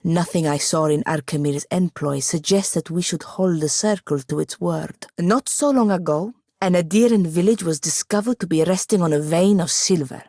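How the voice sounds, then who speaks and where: Fantasy game wizard, young woman: lowish, fry-laden, proud and wry, formal wording. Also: a little mellow, no room sound. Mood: wary, accusing. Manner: dry, wry, formal phrasing. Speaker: game companion character. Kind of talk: scripted video game dialogue.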